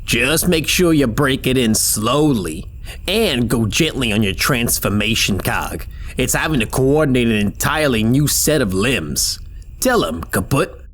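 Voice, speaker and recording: A man's voice: medium pitch, lively intonation, unhurried, fairly bright tone, slight background noise. A man playing a wry robot inventor with a flippant, showman-like manner in a sci-fi audio drama. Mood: cautioning. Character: chirpy, wry male robot inventor showing off his work.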